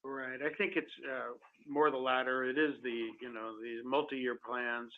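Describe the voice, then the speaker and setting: A man speaking as a company executive on an earnings call, answering in a halting, careful way with many repeated words, over a phone line. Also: medium pitch, flat delivery. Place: conference call. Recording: tinny telephone conference line.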